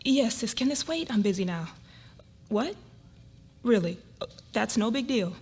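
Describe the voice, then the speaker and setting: A woman playing a shocked, hurt wife in a live dramatic reading, with a husky voice and halting delivery. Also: a little high, lively intonation, a little mellow, heavily acted. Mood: brisk, distracted. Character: shocked, hurt wife of a husband revealing an affair. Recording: live reading with room noise.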